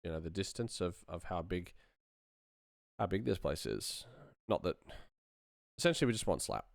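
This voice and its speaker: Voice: deep voice, expressive, normal speed, gravelly, no echo, studio quality. A sound designer talking casually through work on screen, musing aloud and muttering playfully. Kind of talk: casual screen-share workflow commentary, thinking aloud.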